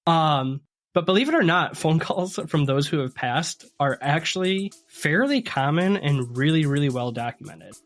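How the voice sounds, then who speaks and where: A man's voice: fairly high pitch, lively intonation, moderate pace, fairly bright tone, faint music behind. A man co-hosting a podcast, telling spooky stories in a conversational way and ad-libbing as he goes. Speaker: podcast co-host.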